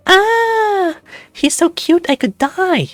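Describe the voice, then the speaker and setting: A man's voice: extremely high pitch, animated, slow pace, fairly bright tone, no room sound, faint music behind, voice pushed up, exaggerated voice. A man voicing a mocking, gushing ghostly woman who torments from inside someone's head in a visual novel. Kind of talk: visual novel character acting.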